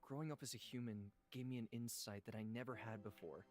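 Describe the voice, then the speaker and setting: An actor playing a gentle ancient god living in a human body, speaking in a measured, reflective way for a visual novel. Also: male voice, on the low side, steady tone, lightly acted. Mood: tender, grateful. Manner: measured, solemn, reflective storytelling. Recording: music behind the voice. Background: instrumental music.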